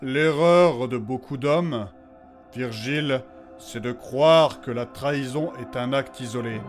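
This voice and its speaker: An actor playing a calm, quietly menacing master in a fantasy audio drama, measured and falsely reassuring. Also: male voice, medium pitch, faint music behind, lightly acted.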